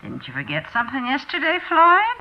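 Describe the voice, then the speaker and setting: A woman's voice: sits high, wildly swooping pitch, a little fast, gravelly, lo-fi sound, a little hiss or hum, heavily acted. An actress playing a nagging, impatient landlady in a 1940s radio drama, brusque and scolding, with an American accent. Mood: pointed, mock-pleasant.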